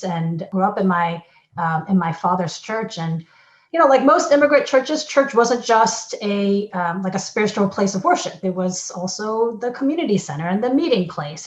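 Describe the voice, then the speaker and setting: A woman pastor, born in the Philippines and raised in Korea and Ohio, reflecting thoughtfully on her past with many fillers and restarts. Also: medium pitch. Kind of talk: personal reflection, casual. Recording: roomy, dull lo-fi sound.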